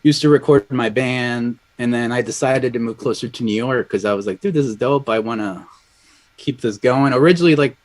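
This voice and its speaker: A man in his thirties from Colombia, a guest in a casual interview, speaking in a relaxed, conversational way with lots of fillers. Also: medium pitch, fairly quick. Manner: relaxed and conversational, frequent "like" and "dude". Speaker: audio engineer guest.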